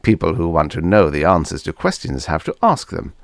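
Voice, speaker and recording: A man's voice: low-pitched, very animated, a little fast, creaky, no room sound, studio quality, exaggerated voice. A man voicing a shifty older mad doctor in a science fiction audiobook, fussy, theatrical and patronizing.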